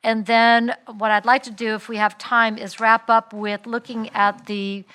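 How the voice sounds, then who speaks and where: A woman chairing a city council work session as mayor, brisk and organized, with some fillers. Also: on the high side, flat delivery, bright, forceful tone, smooth. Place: council chamber.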